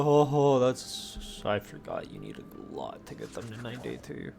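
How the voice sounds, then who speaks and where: Slow-paced man streaming a video game. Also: medium pitch. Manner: self-talking, drifts between exclamations and muttering. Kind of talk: casual gameplay commentary, muttering to self.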